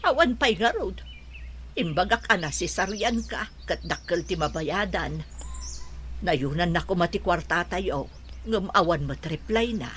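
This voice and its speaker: Caring mother, expressive pitch. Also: measured pace, slight background noise. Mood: troubled. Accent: Ilocano. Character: caring, practical mother of a pregnant daughter. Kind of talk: Ilocano radio drama acting.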